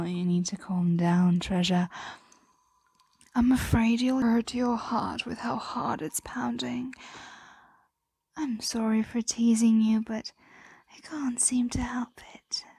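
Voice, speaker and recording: A woman performs a huge ancient female dragon in an ASMR roleplay, possessive and teasing. Her whisper is close and dry, with a creaky rasp at its edges. She lingers over her words, doting and predatory at once. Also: a little high, slow pace, long pauses, mellow, no echo, heavily acted. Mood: amused, teasing. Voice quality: breathy whisper.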